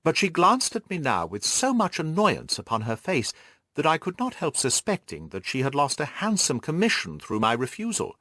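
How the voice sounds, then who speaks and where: Expressive male audiobook narrator, dry sound. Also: on the high side, normal speed, dry recording. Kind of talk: expressive scripted literary narration.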